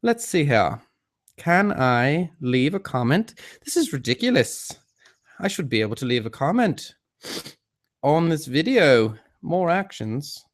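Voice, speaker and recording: A man's voice: a little high, sing-song, a little slow. A man hosting a livestream in a put-on English accent, rambling to viewers in a self-deprecating, mock-formal and theatrical manner. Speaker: livestream host. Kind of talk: rambling live chat to viewers.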